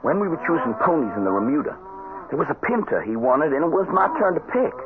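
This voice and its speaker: An actor playing an earnest, stubborn young cowhand back from soldiering, with an American Western accent. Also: male voice, on the high side, very animated, fast talker, a crowd in the background. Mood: resentful. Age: young adult. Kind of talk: old-time radio western acting. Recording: noisy old radio broadcast, narrow band.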